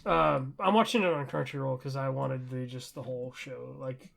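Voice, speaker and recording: A man's voice: mid-range voice, steady tone, normal speed, creaky, fairly bright tone. A young adult man co-hosting a podcast, chatting casually in an enthusiastic, rambling way. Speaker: podcast co-host. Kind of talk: casual chat about shows and anime.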